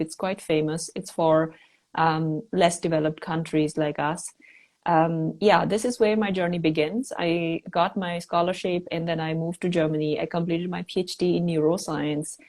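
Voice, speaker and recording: Low-voiced woman. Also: darkish tone. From Pakistan (South Punjab). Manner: modest, plainspoken. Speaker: guest, researcher. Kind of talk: telling her story in an interview. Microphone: phone or video call mic.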